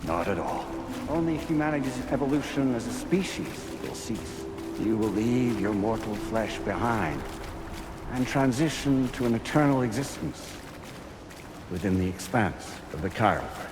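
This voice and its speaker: A man playing a calm, coldly paternal artificial intelligence in a video game, speaking in formal, measured, grandiose speeches over music. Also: medium pitch, close to an everyday voice. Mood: serene, assured. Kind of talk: cinematic video game voice acting. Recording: music behind the voice.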